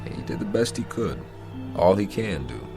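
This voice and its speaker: An actor playing a weary aging boxer in a radio drama, plain-spoken, disillusioned but kind. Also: male voice, sits low, very animated, moderate pace, creaky, music in the background, exaggerated voice. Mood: gentle, reassuring.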